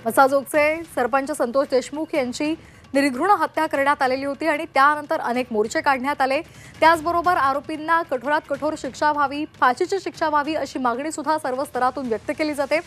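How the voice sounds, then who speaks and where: High-pitched woman news anchor. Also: steady tone, fairly quick, a bit bright, slight background noise. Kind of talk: scripted TV news bulletin narration.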